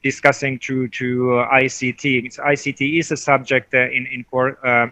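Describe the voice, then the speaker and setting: A man's voice: mid-range voice, little pitch movement, normal speed, pushed and bright, no room sound. A man from Finland speaking on a conference panel as a technical advisor, hesitant with many fillers and restarts.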